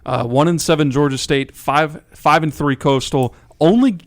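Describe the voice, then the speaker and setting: A man's voice: fairly high pitch, moderate pace. Man co-hosting sports radio picks. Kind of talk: casual sports picks discussion.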